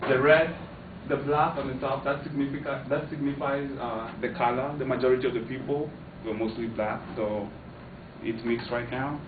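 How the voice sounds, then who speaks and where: A man's voice: mid-range voice, steady tone, normal speed. A man from Kenya giving an informative class speech, hesitant, with many fillers and restarts. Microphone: far camera. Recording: echoey, distant, tinny, noisy.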